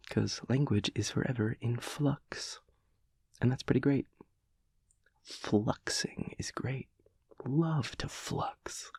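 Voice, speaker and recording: A man playing a chaotic, playful gremlin boyfriend in an intimate comedic audio roleplay, theatrical and rambling, with a husky, breathy, creaky voice. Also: medium pitch, a little mellow, dry recording, studio quality, exaggerated voice. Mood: mock-serious scheming. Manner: theatrical, rambling, mock-grandiose wordplay.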